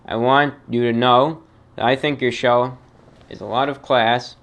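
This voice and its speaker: A man hosting his own show, reading fan emails aloud and answering them in a formal, measured, somewhat halting way. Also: medium pitch, fairly even intonation, fairly bright tone. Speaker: host reading fan mail. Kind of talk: radio-style monologue reading emails.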